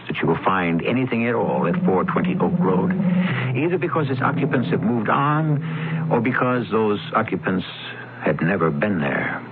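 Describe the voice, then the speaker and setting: Gravelly, expressive radio drama narrator. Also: male voice, fairly low pitch, moderate pace, very noisy. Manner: ominous, storytelling. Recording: tinny old radio broadcast with loud music.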